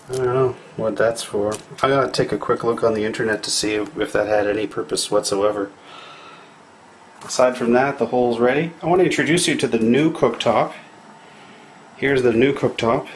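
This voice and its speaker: A man's voice: mid-range voice, a little hiss or hum, rough recording. Chatty, rambling Canadian handyman at home. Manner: rambling, chatty, thinks aloud with fillers. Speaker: DIY maker vlogger. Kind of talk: casual how-to narration. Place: home kitchen.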